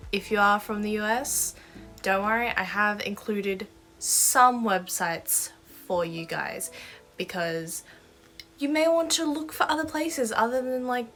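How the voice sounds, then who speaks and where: A woman's voice: fairly high pitch, fairly bright tone. Chatty Australian young woman vlogging. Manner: chatty and enthusiastic, with asides and laughs. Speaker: manga vlogger. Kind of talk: casual vlog to camera. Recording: faint background music.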